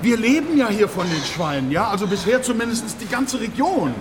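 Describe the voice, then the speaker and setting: An actor playing an aggrieved, defensive pig farm owner in a radio drama, halting and repeating himself to justify his case. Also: high-pitched, big pitch swings. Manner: halting, repetitive, self-justifying. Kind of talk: naturalistic radio drama in reportage form. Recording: loud ambient noise of an animal barn.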